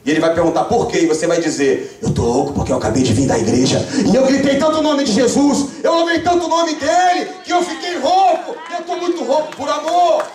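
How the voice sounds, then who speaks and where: A young Brazilian man with a Rio de Janeiro accent, preaching a fervent revival sermon with call-and-response and bursts of shouting. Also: extremely high pitch, a little fast, hardly any pauses, a crowd in the background. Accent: Rio de Janeiro Brazilian Portuguese. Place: church sanctuary. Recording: echoey church from far off.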